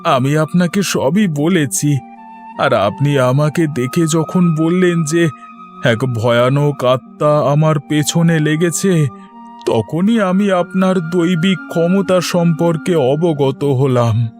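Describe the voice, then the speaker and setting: A man voicing an anxious gentleman who pleads deferentially for help in a cartoon horror story. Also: on the high side, slow, soft background music, pitched above the performer's own voice. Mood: anxious pleading.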